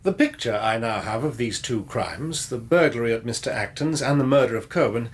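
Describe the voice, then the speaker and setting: A man portrays a confident police inspector in a radio play. His voice is medium in pitch, measured and deliberate, with cool, accusing authority. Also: expressive, slight background noise, lightly acted. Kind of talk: radio drama acting.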